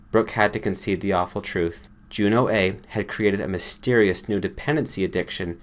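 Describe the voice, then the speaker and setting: Guy with a lowish voice reading a short story, audiobook narration.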